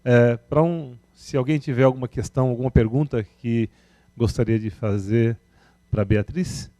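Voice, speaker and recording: A man's voice: mid-range voice, normal speed, mellow, dry recording. A man with a Brazilian accent informally moderating a discussion and opening it up for questions. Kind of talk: informal event hosting.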